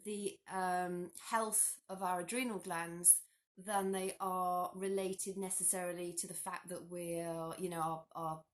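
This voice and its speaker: A woman, a little hoarse from a cold, talking casually to a phone camera in her living room, chatty and animated, often restarting her sentences. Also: lowish voice, measured pace. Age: 40s. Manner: chatty, animated hand gestures, frequent restarts. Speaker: health vlogger. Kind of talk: casual explanatory talk to camera. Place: living room at home, indoors.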